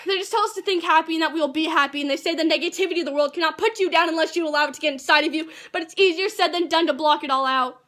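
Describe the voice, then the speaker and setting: A high, youthful female voice, a girl in her teens, performs slam poetry at a rapid pace. She delivers it like a rehearsed piece, earnest and emphatic, as an amateur poet would. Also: fairly bright tone.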